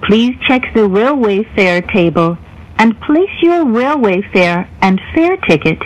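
Female announcer, mid-pitched, extremely slow and deliberate, formal in-car train announcements. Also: lively intonation, poor audio.

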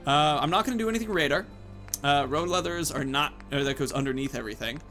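A man's voice: a little high, fast talker, sharp tone. A man in his thirties giving casual let's play commentary, thinking aloud as he explains his choices. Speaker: gaming video host. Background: game music.

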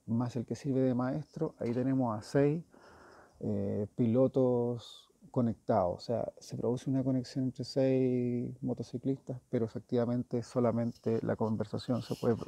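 A man's voice: mid-range voice, creaky, warm tone, dry recording. A man giving a casual hands-on review as a motorcycle gear reviewer, informal and thinking aloud.

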